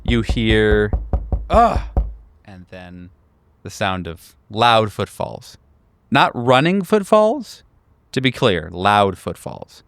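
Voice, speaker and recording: A man narrating a horror tabletop game as game master, deliberate, with dramatic pauses. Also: fairly low pitch, expressive, a bit bright.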